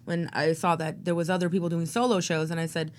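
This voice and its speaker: A nonbinary actor and playwright answering interview questions in a thoughtful, searching way, full of "like" and "you know.". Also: female voice, on the low side, flat delivery, fast talker.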